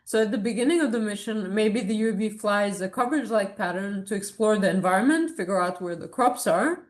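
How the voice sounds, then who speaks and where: A woman's voice: medium pitch, brisk. A woman in her thirties, born in Serbia and raised in Abu Dhabi, gives a research presentation to colleagues in an informal, explanatory way with frequent hesitations. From born in Serbia, grew up in Abu Dhabi. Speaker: academic researcher giving a talk.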